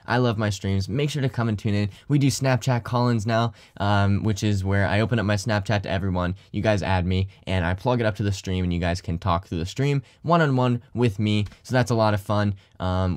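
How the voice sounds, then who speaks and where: A man's voice: fairly low pitch, a little mellow, dry recording, clean studio sound. Tired young man rambling to camera. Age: young adult. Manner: rambling, swears a lot, thankful. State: tired, slightly deep morning voice. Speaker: YouTuber and streamer. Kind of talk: casual vlog talk to camera. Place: small bedroom corner.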